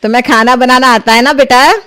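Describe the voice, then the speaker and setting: A woman's voice: high-pitched, steady tone, a little fast, pushed and bright, clean tone. A woman voicing a strict, exacting middle-aged mother-in-law who questions sharply in a cartoon story. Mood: probing. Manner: interrogating, strict. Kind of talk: Hindi cartoon moral story dialogue.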